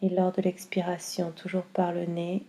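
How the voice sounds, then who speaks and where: A woman guiding a yoga nidra meditation in a calm, soothing, unhurried way with long pauses. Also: fairly low pitch. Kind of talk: slow guided meditation.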